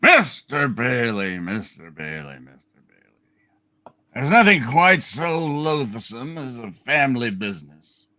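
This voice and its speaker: A man's voice: mid-range voice, sing-song, slow, sharp tone, exaggerated voice. A man voicing a cold, mean, rich old villain with a haughty, disdainful manner in an amateur radio play reading. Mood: disdainful, menacing.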